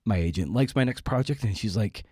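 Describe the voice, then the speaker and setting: Male podcast host, lower register, wry and self-deprecating, conversational, very dry recording. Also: expressive, fairly quick, dark tone, breathy edge, dry recording. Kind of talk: casual podcast interview and wry monologue.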